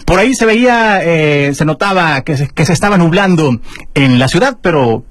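A man with a Mexican accent and a slightly higher voice hosts a radio news slot in a chatty, rambling way, addressing listeners directly. His voice sounds close and dry, with no room around it. He keeps filling pauses with "eh". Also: animated, no room sound. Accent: Mexican Spanish. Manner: chatty, warm address to listeners, many fillers. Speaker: radio news segment host. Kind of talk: casual radio news chat. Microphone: studio microphone.

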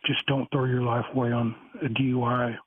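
A man's voice: medium pitch, flat delivery, breathy edge. Man calling in over a tinny phone. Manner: halting, many restarts and fillers. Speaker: caller. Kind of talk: rambling call-in talk.

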